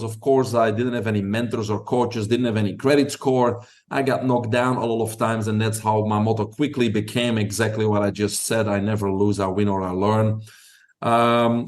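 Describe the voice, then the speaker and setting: A man's voice: medium pitch, darkish tone. A middle-aged man from Belgium with a Belgian accent, a podcast guest telling his story in long, confident answers.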